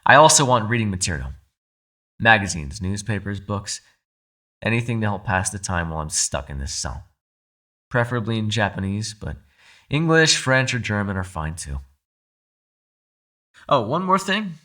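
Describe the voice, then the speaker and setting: A captive male yokai played by a man speaks straight to the listener in an ASMR roleplay. His voice is fairly low yet bright and forceful. He is proud, gentlemanly and protective, making his demands in articulate, courteous phrases. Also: very animated, normal speed, pauses often. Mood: firm, dignified. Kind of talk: ASMR audio roleplay, dramatic character acting.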